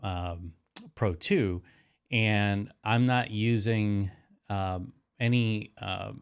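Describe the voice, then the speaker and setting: A man's voice: lowish voice, fairly even intonation, drawn-out words, clean tone. Nasal man demoing his streaming mic. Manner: rambling, self-correcting, with frequent fillers. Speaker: live streaming tech host. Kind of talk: casual gear demonstration. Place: home streaming studio. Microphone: close dynamic broadcast microphone.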